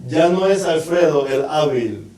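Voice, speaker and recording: A man's voice: a little high, lively intonation, unhurried, echoey room, far from the mic, a crowd in the background. A male pastor giving an earnest testimony and prayer as a guest on a TV show, repeating words as he goes. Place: TV studio.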